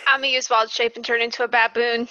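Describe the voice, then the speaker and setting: A woman's voice: on the high side, flat delivery, fast, bright, forceful tone. A woman chatting casually as a player in a tabletop role-playing game. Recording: dull, lo-fi, dry microphone sound.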